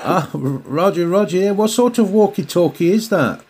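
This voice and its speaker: A man's voice: high voice, animated, moderate pace, darkish tone, slight background noise. A man chatting as an amateur radio operator, courteous and using radio procedure phrases. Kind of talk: two-way radio contact chat.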